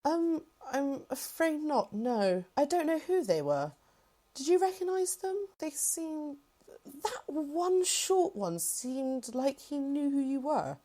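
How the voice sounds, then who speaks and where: A woman's voice: high voice, sing-song, slow pace, dark tone, heavily acted. A woman playing a hesitant, mild-mannered general in a Minecraft roleplay, tentative and questioning. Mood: puzzled. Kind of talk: hobby Minecraft roleplay acting.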